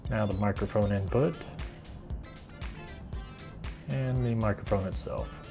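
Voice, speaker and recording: A man's voice: on the low side, normal speed, gravelly, music in the background. A middle-aged man with an American accent, casually explaining a do-it-yourself project in a plainspoken, unscripted way. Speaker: woodworking video host.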